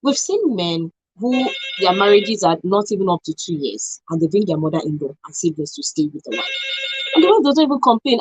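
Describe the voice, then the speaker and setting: A woman's voice: lowish voice, breathy edge, dry recording, low-quality recording. A woman with a Nigerian accent hosting a radio discussion.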